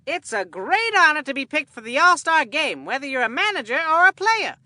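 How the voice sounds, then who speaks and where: A woman voicing an excitable, food-loving boy baseball commentator in a cartoon game, with a folksy, earnest showman delivery. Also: sits high, brisk, no echo, heavily acted.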